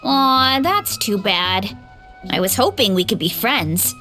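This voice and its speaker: A woman voicing a friendly, easygoing young woman in a video game, gentle and unhurried. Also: medium pitch, big pitch swings, soft background music, full character voice. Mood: sweet.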